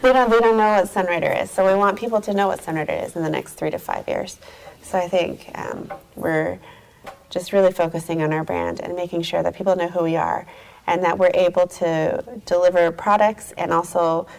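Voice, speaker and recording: Chatty woman with a gravelly edge. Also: fairly low pitch, fairly even intonation, a little fast, a little mellow. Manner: upbeat, chatty, laughs easily. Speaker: guest, company executive. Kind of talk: casual interview chat.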